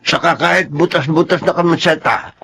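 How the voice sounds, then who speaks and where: A man's voice: on the high side, moderate pace, vocal fry, background noise. A man playing a hardworking, plain-spoken middle-aged father in a Filipino radio drama, with a Filipino accent. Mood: hurried, matter-of-fact.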